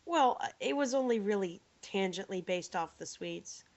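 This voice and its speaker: A woman co-hosting a podcast with a hoarse voice from laryngitis, joking and bantering in casual chat. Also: medium pitch, lively intonation, normal speed. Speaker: podcast co-host. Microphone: remote call microphone. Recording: dull lo-fi online call audio.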